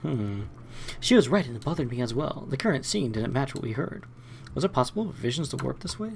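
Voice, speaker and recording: A man's voice: medium pitch, sing-song, fairly quick, vocal fry, a little hiss or hum. A man hosting a let's play, reading game narration aloud with short asides.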